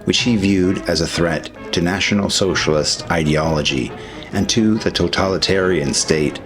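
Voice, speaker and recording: A man with a notably low voice delivers scripted historical narration in the role of a documentary narrator. His lines are read as prepared text, with faint music running behind the voice. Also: moderate pace.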